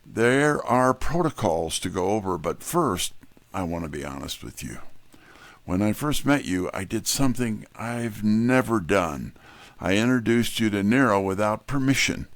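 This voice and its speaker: A male actor plays a wry, candid detective's sidekick telling the story in first person. His voice is low with a creaky edge and expressive pitch movement. The recording is dry. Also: measured pace, no room sound. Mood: earnest.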